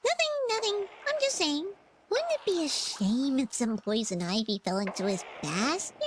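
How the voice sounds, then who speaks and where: A woman's voice: sits high, very animated, normal speed, very noisy. A woman voicing a small, mischievous, sarcastic flying fox-like sidekick girl in a cartoonish video game. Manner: theatrical, sarcastic, bubbly. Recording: game ambience behind the voice.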